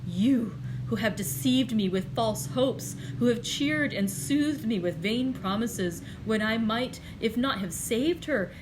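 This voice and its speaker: A woman voicing a grief-stricken, angry young man, reading him with loud, impassioned, accusing outbursts in an audiobook. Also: medium pitch, slight background noise, lightly acted, poor audio.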